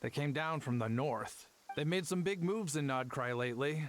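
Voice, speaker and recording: A polite, apologetic family-man merchant from a video game, voiced by a man. His voice sits somewhat high for a man and explains things hesitantly, over faint noise. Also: expressive, moderate pace, close to an everyday voice. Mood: worried, polite.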